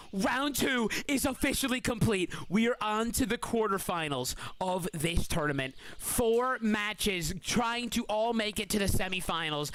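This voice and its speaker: A high-voiced man calls a game tournament live on stream, hoarse and worn but still hyped, shouting over big plays and chatting slangily with his audience between matches. His tone is bright and forceful despite the rough throat. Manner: excitable hype commentary with slang. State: hoarse, voice feels weak.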